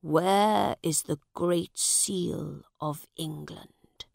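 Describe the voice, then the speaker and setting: A woman putting on an ailing old king, his voice low, gravelly and unhurried. He speaks gently and wearily from his sickbed in a storybook tale, heard in a dry, close recording. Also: no room sound, exaggerated voice. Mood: weary. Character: old, sick, sad English king. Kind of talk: storybook reading.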